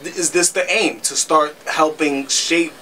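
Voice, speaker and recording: A man asking questions in a casual interview, in a relaxed, probing way. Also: a little high, a little slow, slight background noise.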